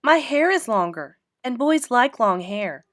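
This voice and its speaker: A woman's voice: fairly high pitch, big pitch swings, unhurried, no room sound, studio quality, voice pushed up. A woman voicing a prissy, boastful little rich girl, haughty and gushing, in a children's storybook.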